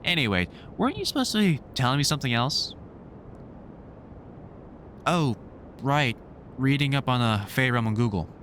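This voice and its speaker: Male actor as vampire hunter mentor for audio roleplay: wry, sweary, protective, very animated intonation, slight background rumble. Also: normal speed, pauses often. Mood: dry banter. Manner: wry, sweary, exasperated but fond banter. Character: gruff, sardonic British vampire hunter, protective, teasing mentor. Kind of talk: roleplay audio drama addressed to the listener.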